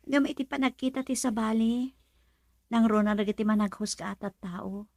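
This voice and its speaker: An actress playing a young woman who works at a club, asking her father lively questions. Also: fairly high pitch, moderate pace, gravelly, no echo, clean studio sound. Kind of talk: radio drama acting.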